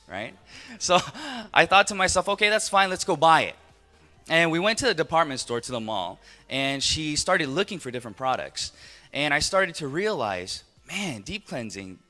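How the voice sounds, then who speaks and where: A man in his thirties with an American accent giving a motivational business talk, telling stories and putting rhetorical questions to his audience. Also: fairly high pitch. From Los Angeles, United States. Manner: animated storyteller, rhetorical questions to the audience, acts out dialogue. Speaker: business speaker, network marketing entrepreneur.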